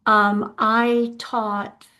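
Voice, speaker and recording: A retired woman professor from Georgia introduces herself on a video call with earnest passion. She speaks slowly and deliberately. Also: a little high, flat delivery, sharp tone. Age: older.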